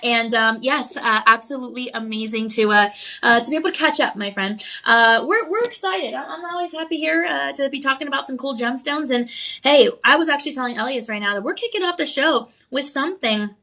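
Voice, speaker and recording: Bubbly high-voiced woman shopping host. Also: brisk, sharp tone. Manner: bubbly and effusive, gushing over the product. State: slightly raspy with a cough. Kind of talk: live home shopping presentation.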